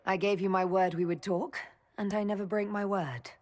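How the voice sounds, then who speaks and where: A woman playing a composed, dry-witted battle mage leader in a video game, wry and measured with a husky voice. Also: medium pitch, fairly even intonation, darkish tone, natural voice. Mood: steady.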